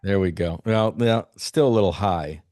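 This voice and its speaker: A man's voice: low-pitched, darkish tone, no room sound. Effusive male host, dry close sound. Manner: effusive, quick to praise. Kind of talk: video-call interview and home tour.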